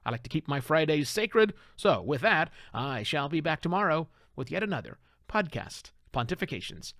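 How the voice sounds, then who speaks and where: A man's voice: fairly high pitch, very animated, moderate pace, fairly bright tone. A middle-aged man with an American accent hosting a solo podcast, talking conversationally from an outline with self-deprecating asides.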